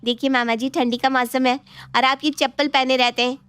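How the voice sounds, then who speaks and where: A woman voicing a competitive young niece who flatters sweetly and schemes, in an animated moral story. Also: sits high, fairly quick, clear, resonant tone, no room sound. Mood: sweetly flattering. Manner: sugary, ingratiating.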